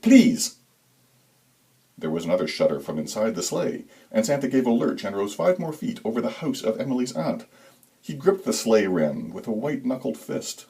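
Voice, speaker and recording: A man giving a dramatic, deliberate reading of a scripted story as narrator, with suspenseful, expressive emphasis. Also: medium pitch, creaky, rough recording. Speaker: author reading own story.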